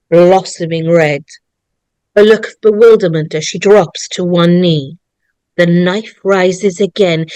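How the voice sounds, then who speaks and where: A woman narrating a horror screenplay in a dramatic, deliberate way, building suspense in short phrases. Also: on the low side, a little mellow, no echo, poor audio.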